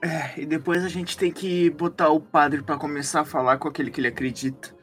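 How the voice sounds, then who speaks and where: Man as wry, higher-voiced detective Jack. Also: fairly even intonation, brisk, a bit bright, soft background music, close to an everyday voice. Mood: cautious, knowing. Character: Jack, male detective, cautious father, wry, loyal. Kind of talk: in-character tabletop roleplay.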